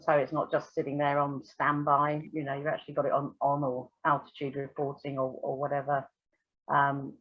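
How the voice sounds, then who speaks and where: A woman's voice: low voice, lo-fi sound. A British woman giving an unscripted, thorough technical explanation step by step, in a hesitant way, as a guest expert. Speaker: air traffic control and radio examiner, guest expert.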